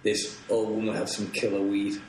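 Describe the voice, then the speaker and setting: A man's voice: on the low side, completely flat delivery, normal speed, darkish tone. A young man, a touring musician, rambling through tour stories on a podcast, laughing and swearing freely. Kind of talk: casual podcast storytelling. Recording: roomy echo, faint noise.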